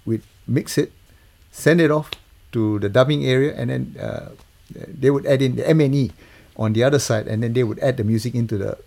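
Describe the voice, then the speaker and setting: A man's voice: mid-range voice, brisk, creaky, dark tone. An older man, chatting casually as an interview guest and telling stories about his working life. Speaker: guest, TV music composer and mixer.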